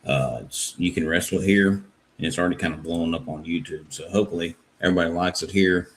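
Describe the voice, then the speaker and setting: A man with a very deep, low voice talks easygoing and plain about his song, dropping in a few fillers. Faint background noise sits behind him. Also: quick pace. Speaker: contest guest songwriter. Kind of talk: casual explanation.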